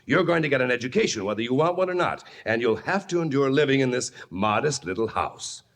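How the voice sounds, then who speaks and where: A man's voice: mid-range voice, lively intonation, fairly quick, lightly acted. An actor playing a brusque, self-important wealthy father in old-time radio drama, pompous and domineering. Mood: domineering, exasperated.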